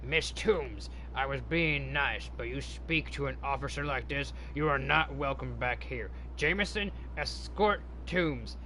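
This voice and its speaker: Dismissive male general acted by a man, bright hard-edged voice, formal authority, storybook reading. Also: medium pitch, a little hiss or hum, low-quality recording. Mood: angry, commanding. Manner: formal, measured, commanding. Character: stern, dismissive male Air Force general.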